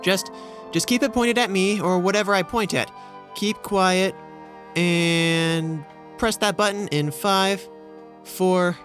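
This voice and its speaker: Nervous, earnest young reporter. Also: male voice, high voice, takes it slowly, fairly bright tone, soft background music. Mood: flustered. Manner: hesitant, stammering, polite. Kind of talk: audio drama acting.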